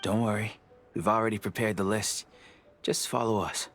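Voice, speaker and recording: An actor playing a grateful, obliging village war veteran in a video game, earnest in manner. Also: male voice, lowish voice, fairly even intonation, normal speed, fairly bright tone, natural voice. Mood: reassuring. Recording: music behind.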